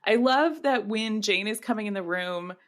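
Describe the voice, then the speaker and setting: A woman's voice: mid-range voice, normal speed. A woman co-hosting a podcast in casual comedic chat, giggly and playfully sarcastic. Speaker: podcast co-host.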